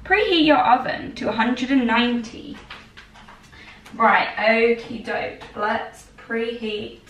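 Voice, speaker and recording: A young woman in her twenties, tired and a little croaky, chatting in a bubbly way to her camera while she bakes in her home kitchen. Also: a little high, slow pace, fairly bright tone, slight background noise. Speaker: vlogger. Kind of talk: casual chat. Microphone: far camera. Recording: echoey kitchen from far off.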